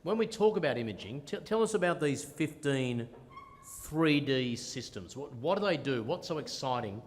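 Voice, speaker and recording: A man's voice: medium pitch, animated, moderate pace. A man interviewing a guest, asking questions in a jokey, conversational way. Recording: reverberant room.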